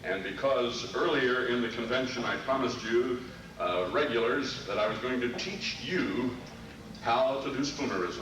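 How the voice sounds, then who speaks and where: A man's voice: medium pitch, unhurried. A man announcing and narrating in old-time radio style from a stage. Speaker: announcer and presenter. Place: convention stage before an audience. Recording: echoey noisy hall, distant microphone.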